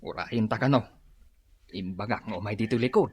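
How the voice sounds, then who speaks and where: A man's voice: fairly low pitch, brisk, a little mellow, slightly rough, dry recording. A man with an Ilocano accent acting a teasing, swaggering village brother-in-law in a comedy radio drama. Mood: sly.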